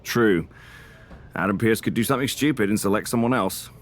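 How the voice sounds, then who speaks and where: A man's voice: lowish voice, brisk, natural voice. A man voicing a male wrestler in a backstage chat who is friendly but needling. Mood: sly. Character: sly male wrestler scheming over a draft pick. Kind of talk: backstage conversation.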